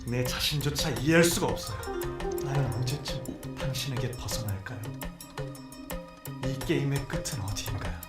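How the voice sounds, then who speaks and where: An actor voicing a mysterious, brooding young man who yearns to be chosen, with a soft, whispery delivery. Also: mid-range voice, racing, roomy sound, distant mic, over loud music, natural voice. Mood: longing. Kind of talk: game voice acting.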